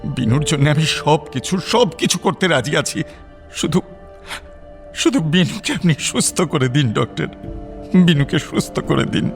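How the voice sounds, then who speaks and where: Higher-voiced, anguished pleading husband. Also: male voice, expressive, creaky, faint music behind. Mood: urgent, imploring. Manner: halting, repeats phrases imploringly. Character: distraught husband and bereaved father, anxious, pleading. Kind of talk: Bengali radio drama acting.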